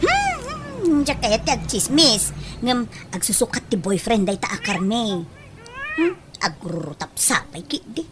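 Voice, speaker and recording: An actress playing a brash, sharp-tongued village gossip in an Ilocano comedy radio drama, with an Ilocano accent. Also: female voice, on the high side, wildly swooping pitch, a little slow. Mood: scandalized, gossipy. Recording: noisy background.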